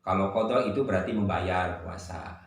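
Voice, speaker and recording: A man teaching a religious lesson. He recites Arabic phrases from a classical text and glosses each word in a steady, measured cadence. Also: sits low. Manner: poses and answers his own questions. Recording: very echoey room, faint noise.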